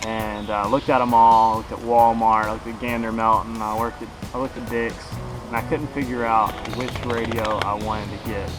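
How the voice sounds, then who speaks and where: A man's voice: lowish voice, level tone, moderate pace, hardly any pauses, over music. A man in his thirties giving a casual, unscripted gear review to camera outdoors, in an informal, folksy way, a little way from the camera. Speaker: kayak fishing vlogger, product reviewer. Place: outdoors in a grassy backyard beside a shed. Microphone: camera a couple of metres away.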